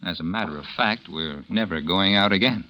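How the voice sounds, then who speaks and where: An actor playing a conceited, cold writer who also narrates, in a 1940s radio drama. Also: male voice, low voice, brisk, pushed and bright, poor audio. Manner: smug, dismissive, curt. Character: cold, conceited man-about-town writer. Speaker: narrator and lead character. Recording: old radio broadcast.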